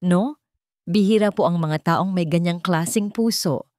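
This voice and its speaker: A woman's voice: fairly low pitch, unhurried, a little mellow, no room sound, close to an everyday voice. Woman playing gossipy hospital nurse, Filipino accent, polite, admiring, chatty, dry drama recording. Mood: admiring, confiding. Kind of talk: dramatic story dialogue.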